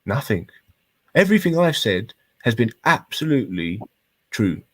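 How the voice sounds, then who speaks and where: A British man in his thirties in a heated debate, speaking in halting, insistent, repetitive bursts with sweary slang. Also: mid-range voice, sing-song, fairly bright tone, dry recording. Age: 30s.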